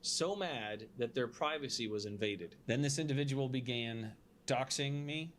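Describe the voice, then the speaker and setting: A man's voice: mid-range voice, moderate pace, fairly bright tone, a little hiss or hum. A man delivering an earnest, indignant monologue straight to his viewers, speaking emphatically as an online creator.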